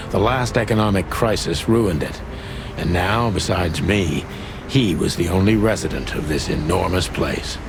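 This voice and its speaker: A man playing a world-weary ageing rooster detective, wry and deadpan, narrating a noir game. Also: sits low. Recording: music behind the voice. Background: music.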